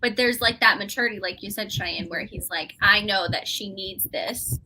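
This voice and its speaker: A woman's voice: mid-range voice, normal speed, pushed and bright, low-quality recording. A woman in an informal, conversational book chat as co-host of a live reading club show. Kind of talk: casual chat about romance novels. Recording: faint noise on home microphone.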